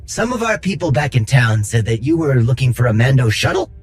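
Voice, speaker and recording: Earnest, husky-voiced rebel. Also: male voice, medium pitch, very animated, a little fast, no room sound, soft background music. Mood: earnest, persuasive. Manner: earnest, informal, persuasive. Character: earnest, quick-thinking male rebel worker leading an escape. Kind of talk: video game cutscene acting.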